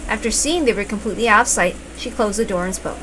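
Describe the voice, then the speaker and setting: A woman narrating a visual novel aloud in an expressive storytelling style. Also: medium pitch, fast talker, background noise. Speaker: reader, narrator.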